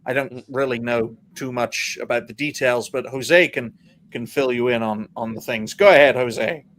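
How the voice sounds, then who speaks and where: A man's voice: mid-range voice, normal speed, fairly bright tone, slight background noise. A man hosting a casual podcast panel who makes irreverent jokes and steers the talk between guests. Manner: irreverent, joking, leads the talk. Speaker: podcast host.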